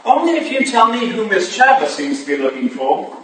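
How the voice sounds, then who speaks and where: A man playing a wry, courteous Victorian gentleman and exasperated brother in a staged drama reading. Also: high voice, very animated, a little fast. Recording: echoey hall from far off, clearly noisy.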